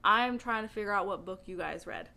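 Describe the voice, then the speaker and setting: A woman talking casually to camera as a book vlogger, with an animated, emphatic way of speaking. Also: medium pitch, fairly quick, vocal fry.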